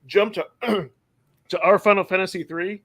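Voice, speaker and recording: A man's voice: high-pitched, steady tone, moderate pace, fairly bright tone. A man hosting a podcast, talking casually and rambling, often restarting his sentences. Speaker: podcast host. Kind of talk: casual chat.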